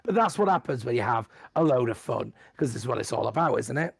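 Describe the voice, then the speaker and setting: Male racing commentator, gravelly raspy voice, hesitant and chatty. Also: medium pitch, expressive, fast, dry recording. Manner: rambling, hesitant. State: croaky voice. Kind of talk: casual commentary.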